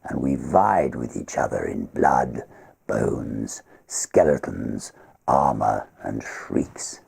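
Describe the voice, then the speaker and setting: A man with a husky, gravelly voice reads a literary ghost story aloud in a theatrical, measured way. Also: extremely low pitch. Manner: theatrical, measured, expressive phrasing. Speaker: story reader.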